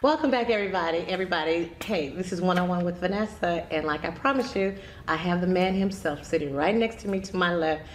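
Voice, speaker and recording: A woman's voice: fairly low pitch, fast, echoey room, music in the background. A middle-aged woman hosting a faith talk show in a studio, chatty and bubbly, with many affirming uh-huhs. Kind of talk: enthusiastic interview chat. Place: TV studio set, seated.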